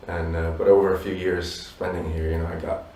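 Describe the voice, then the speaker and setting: A man in his thirties with Polish roots, a musician answering interview questions in a relaxed, reflective way with many "you know"s. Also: deep voice, fast talker. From abroad, Polish parents. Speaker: musician guest. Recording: roomy echo from a distance.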